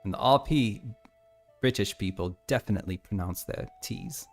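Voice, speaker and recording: American game dev streamer, lowish voice with a gravelly edge, talks through his code as he works. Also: normal speed, soft background music. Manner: thinking aloud, reading code out. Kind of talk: casual live coding stream.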